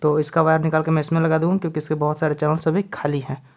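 A man's voice: fairly high pitch, completely flat delivery, rapid-fire, vocal fry, a little mellow. A man in his twenties in casual vlog talk to the camera, chatty and joking as he explains what he is doing, recorded on a phone held at arm's length in a small bedroom. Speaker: vlogger. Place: small bedroom at home, indoors.

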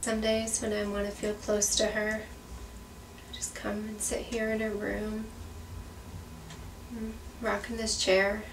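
A woman's voice: mid-range voice, completely flat delivery, takes it slowly, pauses often. A woman in her thirties speaking reflectively in a documentary interview at home. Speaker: adoptive parent, interviewee. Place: room at home, indoors. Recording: echoey room, distant mic, faint noise.

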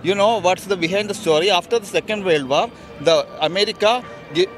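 A young man with an Indian accent and a high voice answers a street interview. He is insistent and repeats his points, speaking into a handheld mic outdoors. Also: a little fast, faint music behind. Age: young adult. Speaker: interviewed visitor. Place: busy outdoor exhibition plaza. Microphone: handheld reporter microphone.

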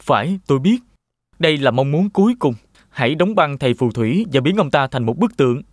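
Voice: medium pitch, animated, brisk, no room sound, studio quality, natural voice. An actor playing an earnest, polite young male hero in a children's cartoon dub, speaking formally. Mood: determined. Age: young adult.

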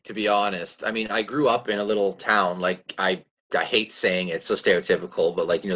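A raspy young man from Canada talks in a fairly low voice, relaxed and rambling as he answers questions. The sound is muffled and lo-fi, as if carried over a video call from a small room at home. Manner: relaxed, rambling, laughs easily. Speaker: country singer, guest. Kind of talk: casual interview chat. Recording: dull lo-fi video call audio.

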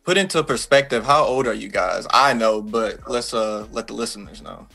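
A man in the middle of the pitch range hosts an interview over webcam. His questions come easy and relaxed, broken up by little "uh" fillers. Faint music sits under his voice. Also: normal speed, gravelly. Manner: relaxed, friendly, hesitant fillers. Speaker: podcast host interviewer.

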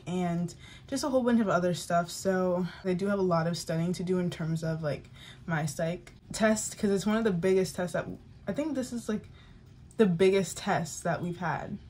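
A young woman talks to her camera in a chatty, excitable vlog. Her pitch sits in the middle range, and she sometimes slips into hushed whispers. A faint hiss sits under her voice. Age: young adult. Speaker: vlogger. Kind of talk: casual vlog chat.

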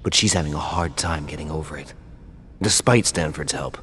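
Casting: troubled ex-thrall for a video game, low register, reverberant recording with faint noise. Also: male voice, moderate pace, a bit bright. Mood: troubled, confiding. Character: troubled, weary male former thrall, remorseful and confiding.

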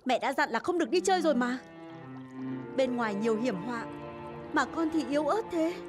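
A woman's voice: high voice, expressive, brisk. A woman voicing an anxious, overprotective mother fish in a cartoon, fretful and scolding. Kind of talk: animated film voice acting. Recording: music under the voice.

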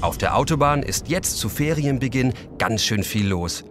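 A man's voice: medium pitch, animated, normal speed, over loud music. A man narrating a children's radio play in a scripted storytelling style.

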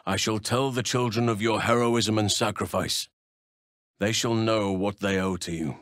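A male actor voices a wise druid from a fantasy video game, gentle yet solemn, with a wild side. His voice is fairly low and recorded close and dry. He phrases things formally and earnestly, in measured sentences. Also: steady tone, no echo, close to an everyday voice. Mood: calm, grave. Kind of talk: video game character acting.

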